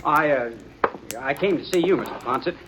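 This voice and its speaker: A man's voice: on the high side, brisk, noisy background, close to an everyday voice. A man acting an earnest, lovestruck young man of the Old West in a radio drama, American, with hesitant, stammering speech. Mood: sheepish, hesitant. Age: young adult. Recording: muffled old radio broadcast.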